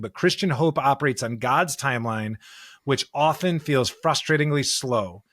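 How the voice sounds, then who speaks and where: A man with a mid-pitched voice co-hosts a conversational podcast about scripture. His delivery is earnest and emphatic, moving between loose talk and quoted passages. The recording is close and dry. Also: a bit bright, no room sound. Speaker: podcast co-host.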